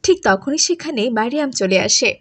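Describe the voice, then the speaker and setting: A woman's voice: high-pitched, lively intonation, brisk, no echo. A woman reading scripted narration for a children's moral story.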